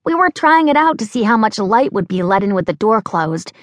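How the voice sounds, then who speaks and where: A woman's voice: medium pitch, sing-song, fairly quick, no echo, clean studio sound, pitched above the performer's own voice, natural voice. A woman voicing a clumsy, bubbly young American woman with an American accent who rambles on breathlessly. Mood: chatty.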